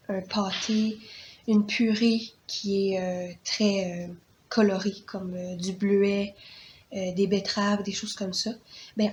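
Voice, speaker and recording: Slow, halting Québécois woman with a cold. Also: mid-range voice, slight background noise. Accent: Québécois French. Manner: hesitant, many fillers and restarts. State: stuffy from a cold. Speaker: parenting vlogger. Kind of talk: casual how-to vlog.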